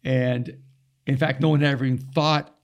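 A middle-aged man from El Salvador, a pastor whose first language is Spanish, chats on a podcast with a slightly gravelly, fry-edged voice. He tells stories animatedly, acting out the people he quotes in them. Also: medium pitch, normal speed. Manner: animated storyteller with quoted asides. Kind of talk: casual conversation.

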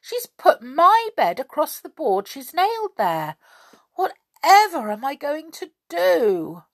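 A woman's voice: sits low, animated, very slow, a bit bright, husky, no echo, heavily acted. A woman voicing a hot-tempered, indignant male moon creature in a storybook reading. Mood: dismayed. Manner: indignant exclamations and muttered rhetorical questions.

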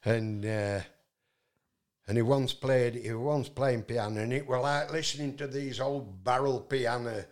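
A man's voice: medium pitch, measured pace. A man from England, telling a long, rambling story as a guest and often restarting with fillers. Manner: loud voice, many fillers and restarts.

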